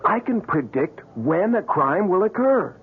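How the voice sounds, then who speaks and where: A man playing a stubborn, ambitious, excitable fellow in a radio mystery drama, with an insistent, argumentative delivery. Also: high-pitched, unhurried, a little hiss or hum, heavily acted. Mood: eager. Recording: tinny, band-limited old radio broadcast.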